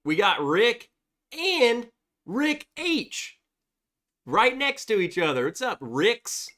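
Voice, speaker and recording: Lively congested man hosting a livestream. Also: very high voice, slow pace. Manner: reads viewer chats aloud, chatty and upbeat. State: congested from a cold. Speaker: livestream host. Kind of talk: casual livestream chat.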